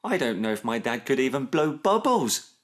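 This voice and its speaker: A man's voice: medium pitch, very animated, normal speed, pitched above the performer's own voice, close to an everyday voice. A man voicing a young boy crocodile in a children's story, wistful, hesitant and childlike. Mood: unsure, wistful. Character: young boy crocodile, wistful and unsure about his dad.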